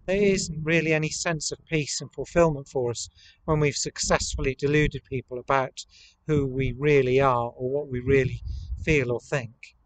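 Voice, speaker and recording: A man, preaching a measured, earnest, reflective sermon to camera as a priest. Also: medium pitch, steady tone, rough recording. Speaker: priest leading online worship. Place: outdoors in a rural churchyard. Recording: outdoor camera recording with ambient noise.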